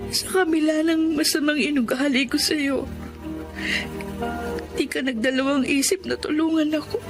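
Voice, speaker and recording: An actress in a Filipino radio drama playing a remorseful woman patient, speaking haltingly and emotionally. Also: sits high, fairly even intonation, music in the background. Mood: tearful, remorseful. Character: remorseful older sister-in-law recovering in hospital.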